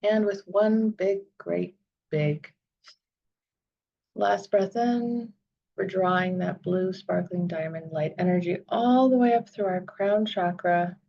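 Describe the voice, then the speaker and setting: A woman leading a guided visualization meditation, speaking in soothing, deliberate phrases with long pauses. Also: on the low side, gravelly, dark tone, highs cut off.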